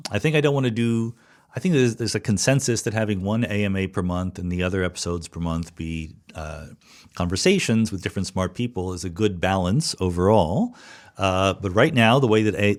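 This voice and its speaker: A man hosting a podcast, giving informal, off-the-cuff explanatory answers and qualifying his points as he goes. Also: lowish voice. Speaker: podcast host. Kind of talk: off-the-cuff spoken answers to listener questions.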